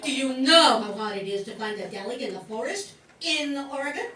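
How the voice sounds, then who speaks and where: A woman's voice: high-pitched, animated, fairly quick, pushed and bright. A woman playing a sassy, exasperated talking Christmas tree in a comic radio play, full of wisecracks and exclamations. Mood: wry, sassy. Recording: echoey room, distant mic, background noise.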